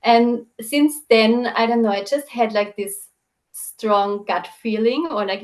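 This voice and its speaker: A young woman from Austria with an Austrian accent, chatting as a podcast guest and peppering her speech with fillers. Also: medium pitch. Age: young adult. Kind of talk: casual chat.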